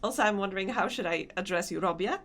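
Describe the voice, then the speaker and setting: A woman's voice: mid-range voice, fast talker, fairly bright tone. A young woman with a Polish accent chatting casually with her stream chat while gaming, laughing often and answering comments. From Poland.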